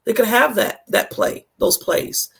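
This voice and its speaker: A co-host in a casual sports chat with a hoarse, nearly gone voice, giving blunt, emphatic opinions. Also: medium pitch, animated, a little slow, fairly bright tone.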